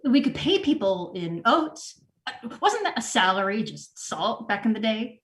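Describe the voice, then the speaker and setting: A woman's voice: medium pitch, sing-song, measured pace, fairly bright tone. A woman acting a disorganized store manager in her forties at a comedy table read, casual, scattered and defensive. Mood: sheepish, hopeful. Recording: roomy, echoey home recording.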